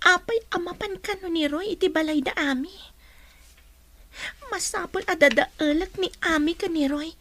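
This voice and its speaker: Shrill, bratty schoolgirl in radio drama. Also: moderate pace, fairly bright tone. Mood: jealous, annoyed. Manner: scolding, argumentative. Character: resentful, sharp-tongued schoolgirl daughter, jealous and talking back.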